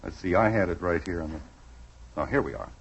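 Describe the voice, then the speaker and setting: A man's voice: sits low, a little fast, husky. An actor playing an exasperated, hammy middle-aged suburban father with an American accent in 1950s radio sitcom style. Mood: earnest, solemn. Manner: theatrical, emphatic. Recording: muffled vintage radio recording.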